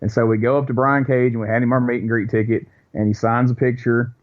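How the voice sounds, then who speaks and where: A man with a Chicago accent, a podcast guest telling animated, profane stories in casual chat. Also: mid-range voice, quick pace. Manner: animated, profane anecdote teller. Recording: dull lo-fi recording.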